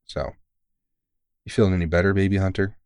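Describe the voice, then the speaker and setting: A man's voice: low voice, steady tone, brisk, a little mellow, clear, resonant tone, no echo, clean studio sound. A man playing a tired, kindly veteran vampire hunter who mentors a rookie in an informal, teasing, reassuring way, in an ASMR comfort roleplay. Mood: fond, reassuring. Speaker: voice actor in audio roleplay.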